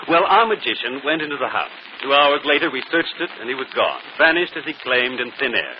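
A noisy, dull old radio recording carries a man acting as a confident gentleman detective. His delivery swings widely in pitch as he lays things out crisply, in classic 1940s radio drama manner. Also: sing-song, brisk, lightly acted. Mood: explaining, confident. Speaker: detective and program host.

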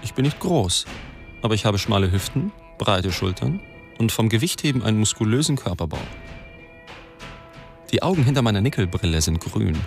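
Gravelly, brooding male reporter narrator. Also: fairly low pitch, big pitch swings, normal speed, long pauses. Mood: wry, brooding. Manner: brooding, confessional. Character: self-loathing, cynical male reporter narrating his own story. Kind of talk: first-person radio play narration. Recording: music behind the narration.

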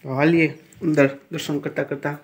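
A man's voice: a little high, flat delivery, brisk, breathy edge, no room sound. A man, chatting casually as he walks viewers around a riverside temple on a phone camera. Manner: chatty, pointing things out as he walks. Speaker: village vlogger. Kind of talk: casual guided tour.

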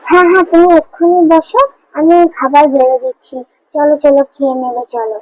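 A woman plays a farmer's wife in a cartoon folk tale. Her voice is high, recorded dry and close. She comes across as homely, obliging and dutiful. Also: a little fast, dry recording, faint music behind, lightly acted, low-quality recording. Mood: eager, caring. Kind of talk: Bengali animated fairy-tale dialogue.

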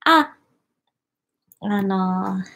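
A woman's voice: mid-range voice, lively intonation, very slow, vocal fry, a bit bright. A young Japanese woman in her twenties with a Japanese accent, chatting on a live stream from a small room and cheerfully reacting to viewer comments. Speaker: YouTuber. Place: small indoor room with wooden cabinets.

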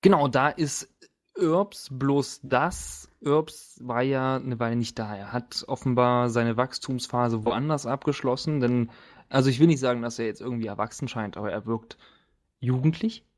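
A man acting as game master, narrating an improvised tabletop game in a playful, halting, chatty way. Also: mid-range voice. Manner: playful, joking, halting descriptions.

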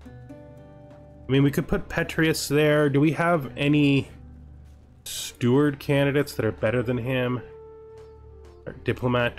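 A man giving casual strategy game commentary as host, thinking aloud and reading event text. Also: mid-range voice. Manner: thinking aloud, asking himself questions. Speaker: let's-play host.

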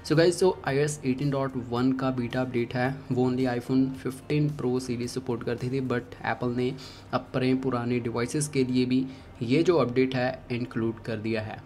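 A man's voice: medium pitch, steady tone, moderate pace, a little mellow. A man with an Indian accent hosting a tech tutorial, giving step-by-step instructions to viewers.

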